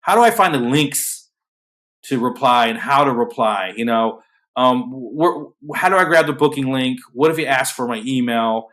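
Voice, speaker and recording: Brief: host, man, mid-pitched, steady unexcited delivery, lots of ums. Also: normal speed. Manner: self-described monotone, filler-heavy, restarts. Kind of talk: casual panel discussion. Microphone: video call.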